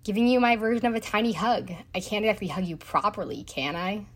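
A woman's voice: medium pitch, quick pace, breathy edge. A woman acting an outspoken, affectionate, teasing young woman in an audio drama.

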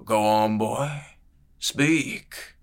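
A paunchy spymaster in his fifties speaks in a slow, hushed murmur, as a male audiobook narrator puts him on. His low voice stays calm while a quiet threat sits beneath it. It is a storybook villain read for listening. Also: creaky, full character voice. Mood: probing. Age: 50s. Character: paunchy menacing middle-aged spymaster, soft-spoken. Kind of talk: audiobook character voice.

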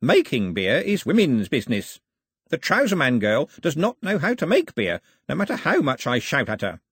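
Man voicing a pious, sly old elder, pitched up. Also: wildly swooping pitch, normal speed, fairly bright tone, breathy edge, no echo, clean studio sound, exaggerated voice. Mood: mournful, pious. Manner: declamatory, teasing, grandly superstitious.

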